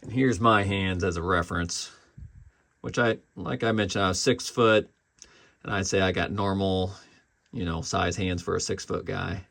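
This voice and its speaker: A man's voice: low voice, fairly even intonation, normal speed, vocal fry, a bit bright. A man casually reviewing a collectible in an unscripted, conversational way.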